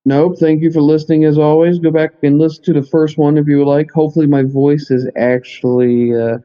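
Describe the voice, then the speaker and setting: A man talking casually as a podcast guest, halting and thinking aloud as he goes, recorded remotely on a computer mic. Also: on the high side, flat delivery, clean tone. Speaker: podcast guest, anime fan expert. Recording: dull, lo-fi computer call audio.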